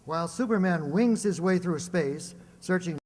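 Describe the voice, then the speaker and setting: A man playing a dramatic old-time radio serial announcer, declamatory, measured and suspenseful. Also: high voice, a little mellow, long reverb tail, close to an everyday voice. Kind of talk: 1940s radio serial narration.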